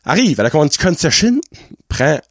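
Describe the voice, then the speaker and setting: A man in his thirties with a Québécois French accent, hosting a podcast and telling a story in a casual, animated way, laughing often and slipping English words into his talk. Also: a little high, moderate pace, dry recording, studio quality. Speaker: podcast host.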